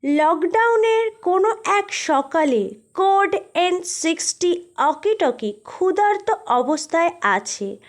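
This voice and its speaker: A woman's voice: very high-pitched, lively intonation, slow. A woman narrating a thriller story in a theatrical, suspenseful manner.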